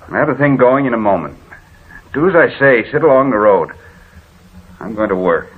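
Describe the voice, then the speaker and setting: A man's voice: medium pitch, expressive, moderate pace, slightly rough. Curt, bossy man, tinny old radio. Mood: irritated, curt. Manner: curt, commanding, exasperated. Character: irritable, bossy man stranded with his car. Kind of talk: 1940s radio drama acting.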